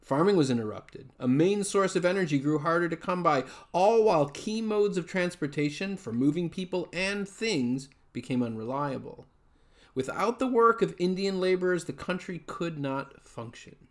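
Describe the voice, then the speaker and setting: Higher-voiced man reading nonfiction aloud. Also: animated, moderate pace. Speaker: author reading aloud from his book. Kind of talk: read-aloud nonfiction narration. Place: small furnished room at home, indoors.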